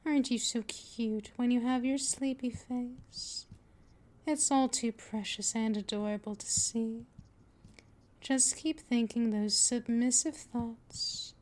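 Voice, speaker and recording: Casting: actress as a possessive female vampire mistress for ASMR audio, higher-pitched, very unhurried, hypnotic and dominant. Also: darkish tone. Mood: doting. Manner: slow, coaxing, soothing, often drifting into whispers. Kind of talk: scripted ASMR roleplay, hypnotic monologue.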